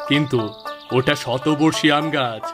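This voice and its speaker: A man's voice: mid-range voice, steady tone, a little slow, over music, lightly acted. A man voicing a practical, good-natured village husband in scripted cartoon dialogue. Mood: explaining. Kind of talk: scripted cartoon dialogue in a Bengali folk tale.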